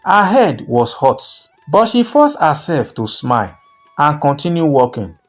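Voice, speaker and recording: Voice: mid-range voice, sing-song, unhurried, faint music behind. A narrator telling a scripted folktale in a dramatic storytelling style.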